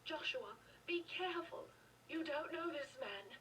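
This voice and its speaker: A woman's voice: sits high, animated, a little slow, bright, forceful tone, exaggerated voice. An actress playing an anxious, pleading mother and protective wife in a video game. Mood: anxious warning. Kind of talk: video game dialogue acting. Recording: distant, noisy game capture with ambience.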